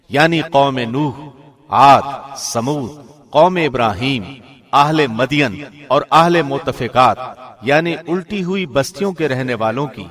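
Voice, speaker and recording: A man reading a scripted scripture translation in a formal, deliberate radio presenter's delivery. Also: a little high, a bit bright.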